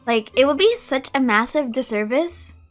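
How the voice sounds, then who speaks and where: A woman's voice: high voice, animated, normal speed, soft background music. A woman doing casual gameplay commentary, rambling and reacting in loose slang. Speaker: streamer playing and reacting to a game.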